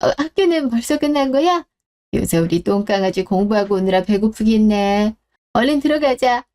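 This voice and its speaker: A woman's voice: medium pitch, lively intonation, normal speed, warm tone, lightly acted. A woman voicing a doting older grandmother in a radio drama, talking in an affectionate, coaxing way. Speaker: voice actor reading a radio drama.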